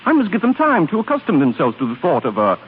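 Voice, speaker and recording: A smooth, evasive male suitor in a 1930s radio drama, swooping theatrically up and down in pitch. He talks down to his sweetheart with polished condescension, through a narrow, noisy old broadcast recording. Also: huge pitch swings, quick pace. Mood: placating.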